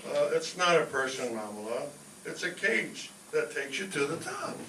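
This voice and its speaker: A brisk, patient guide for new immigrants, acted by a man in a comic radio play, with a fairly high, forceful voice. It is echoey and a little far from the mic. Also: expressive. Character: devoted immigrant son steering his mother, and brisk immigration officer. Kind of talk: comic radio skit acting. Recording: echoey, distant stage recording, faint noise.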